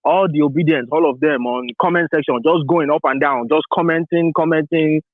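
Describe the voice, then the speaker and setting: A man's voice: fairly high pitch, steady tone, brisk, no echo. A man in his thirties from Nigeria, calling into a talk show and rambling with many restarts. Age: 30s. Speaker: caller. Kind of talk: phone call to a talk show. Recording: tinny phone line, dry.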